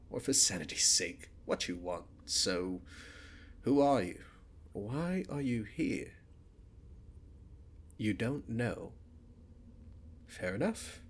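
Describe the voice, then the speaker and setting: A man acting a haughty, menacing spirit king of nightmares with a British accent, in a theatrical ASMR roleplay delivery that shifts between hushed and booming. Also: breathy edge, full character voice. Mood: weary, scheming. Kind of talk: ASMR roleplay villain monologue.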